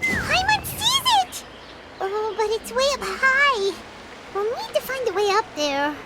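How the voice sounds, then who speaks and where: Squeaky tiny excitable fairy girl. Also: a little slow, very noisy, heavily acted. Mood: excited. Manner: exclamatory, fretful, refers to self in third person. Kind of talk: video game character voice acting. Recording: loud music under the voice.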